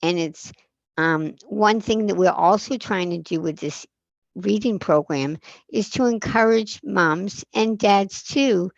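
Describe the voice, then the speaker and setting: A woman hosts a storytime program with informal remarks. Her voice is creaky and slow-paced, heard through dull, muffled video-call audio. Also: mid-range voice, no echo.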